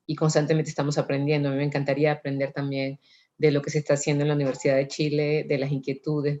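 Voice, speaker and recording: A woman from Venezuela, speaking as a guest researcher on a video call, warm and chatty with frequent fillers. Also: deep voice, dull audio. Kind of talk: conversational panel talk.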